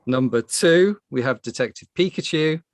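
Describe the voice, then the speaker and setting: A man's voice: medium pitch, expressive, normal speed, no echo, studio quality. Hesitant man hosting a podcast. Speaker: podcast host. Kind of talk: casual panel chat.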